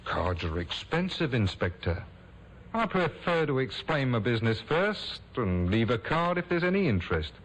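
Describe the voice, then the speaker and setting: An actor playing a smooth, evasive male murder suspect in an old radio drama, suave and overly polite. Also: medium pitch, sing-song, normal speed, poor audio. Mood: composed. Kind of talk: 1950s radio drama acting. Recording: old radio broadcast with faint hiss.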